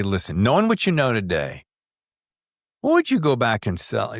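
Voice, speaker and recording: A male podcast host with a deep voice and very lively intonation. He interviews in a casual, jokey way and leans on words for emphasis. The sound is dry and close. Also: no echo.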